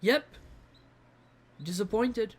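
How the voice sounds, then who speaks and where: A woman's voice: fairly high pitch, very slow, slight background noise. A woman giving in-character gameplay commentary as a game player, with a dry, sardonic manner.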